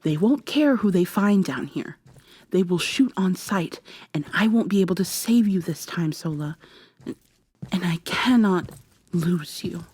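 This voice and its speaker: A woman playing an earnest, regretful robot woman in an ASMR audio drama, speaking softly in a formal, measured way. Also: on the low side, animated, slightly rough. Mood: urgent.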